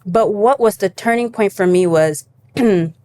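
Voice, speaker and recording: A young adult woman from Cameroon, a guest in an interview, telling her story in a lively casual way and acting out her younger self. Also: mid-range voice, measured pace. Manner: animated storytelling, acts out her younger self, laughs easily.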